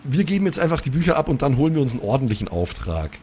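A man's voice: medium pitch, very animated, rapid-fire, a little mellow, soft background music. A man improvising as a player in a tabletop role-playing game, chatting casually in colloquial slang.

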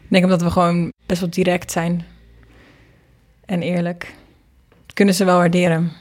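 Low, even-pitched woman chatting. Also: normal speed. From Netherlands. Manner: rambling, loose, informal. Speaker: singer and producer being interviewed. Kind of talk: casual interview chat.

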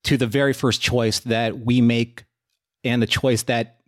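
A man co-hosting a casual discussion podcast, laying out long, measured, analytical arguments. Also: mid-range voice, little pitch movement. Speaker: podcast co-host.

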